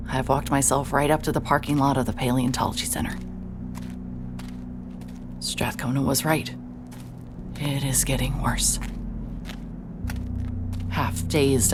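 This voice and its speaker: An actress narrating in first person as a sarcastic, guarded woman investigator who swears when she is upset. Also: a bass voice. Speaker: narrator and lead character.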